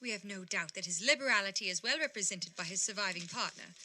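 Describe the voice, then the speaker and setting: Crisp-toned, genteel charity collector. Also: female voice, medium pitch, lively intonation, fast, pushed and bright, low-quality recording. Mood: polite, earnest. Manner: formal, courteous. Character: polite, earnest female charity collector, genteel and formal. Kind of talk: audio drama acting.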